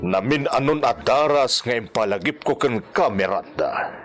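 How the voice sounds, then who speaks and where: A man's voice: medium pitch, animated, moderate pace, music in the background, lightly acted. An actor with a Filipino accent playing a stern, reproachful father who scolds in radio drama style. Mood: disapproving. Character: stern, reproachful father protective of family reputation.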